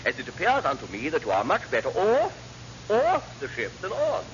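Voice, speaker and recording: An actor playing a fussy, kindly naval padre with a pompous, churchy way of talking, in classic radio sitcom style. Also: male voice, fairly high pitch, huge pitch swings, normal speed. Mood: earnest, helpful. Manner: pompous, churchy, ornate phrasing. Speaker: ship's chaplain and welfare officer. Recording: muffled, noisy old radio comedy recording.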